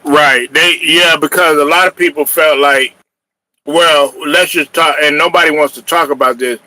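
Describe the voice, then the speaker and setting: Man, sports talk host, bright forceful tone, fairly high voice, animated, trash-talking. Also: normal speed, dry recording, rough recording. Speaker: podcast host. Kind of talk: casual sports chat.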